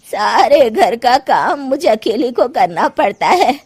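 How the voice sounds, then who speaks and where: Woman doing a tired sharp-tongued saas in a cartoon, slow and drawn out, pitch going up and down a lot, scolding. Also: sing-song, husky, heavily acted. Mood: exasperated. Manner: scolding, exasperated, homely. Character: harried older mother-in-law, fed up and sharp-tongued. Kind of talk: Hindi cartoon story voice acting.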